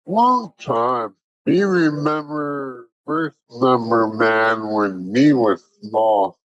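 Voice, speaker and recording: Bigfoot for an audio play, male actor: gentle, shy giant, slow broken speech, dry sound. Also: mid-range voice, animated, dry recording, highs cut off, full character voice. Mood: plain, earnest. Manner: broken, childlike grammar. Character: gentle simple-spoken Bigfoot, a shy giant wild creature.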